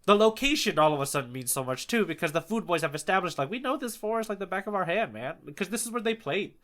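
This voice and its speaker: A man's voice: high-pitched, lively intonation, fast talker, fairly bright tone. A man co-hosting a podcast, talking casually and enthusiastically about stories and manga. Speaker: podcast co-host.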